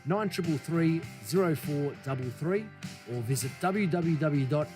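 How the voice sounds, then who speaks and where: A man reading radio adverts and station idents briskly. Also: on the high side. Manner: brisk, announcer delivery. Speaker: advertisement announcer. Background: faint guitar music.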